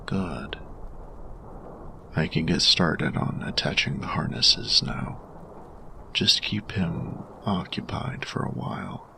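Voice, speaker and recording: Reserved steampunk inventor for audio drama, played by a man: formal, heavy fry, murmured yet crisp-edged and bright, faint background noise. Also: wildly swooping pitch, exaggerated voice. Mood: focused, quietly approving. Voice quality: gravelly, breathy low murmur. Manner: formal, measured, deliberate phrasing. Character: grumpy male steampunk artificer, formal, reserved, quietly grieving. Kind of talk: scripted audio roleplay addressed to the listener.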